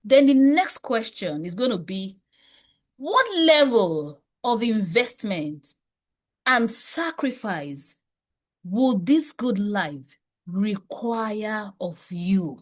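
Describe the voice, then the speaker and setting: A woman's voice: medium pitch, lively intonation, extremely slow. A woman leading a motivational money class, speaking emphatically and preachily with many rhetorical questions. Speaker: wealth coach.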